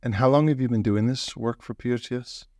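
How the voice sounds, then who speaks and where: A man hosting a podcast interview, relaxed and joking, with short acknowledgments. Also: mid-range voice, a little fast, no echo. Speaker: podcast host. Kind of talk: casual interview conversation.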